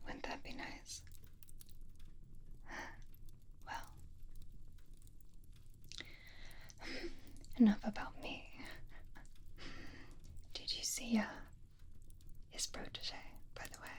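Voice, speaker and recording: A gossipy, flirty vampire, played by a woman, murmurs in a hushed whisper for an ASMR roleplay. The delivery is very unhurried and confiding, with little rise or fall and hesitant pauses, as if sharing secrets up close. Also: on the high side. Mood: gossipy, teasing. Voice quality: soft breathy whisper.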